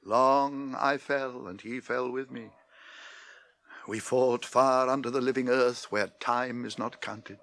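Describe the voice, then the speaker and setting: A man's voice: medium pitch, steady tone, slow pace, fairly bright tone. An older man acting a grave, commanding old wizard in a theatrical, stately 1980s radio drama style. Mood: solemn, weary. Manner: theatrical, stately, declaiming delivery.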